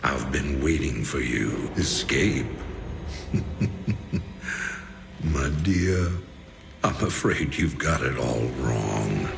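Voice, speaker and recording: Very deep, gravelly, smug tyrant. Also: male voice, lively intonation, full character voice. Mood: smug menace. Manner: condescending, deliberate menace. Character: ruthless, smug middle-aged emperor, cold and menacing. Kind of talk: science fiction game villain acting. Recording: loud music behind the voice.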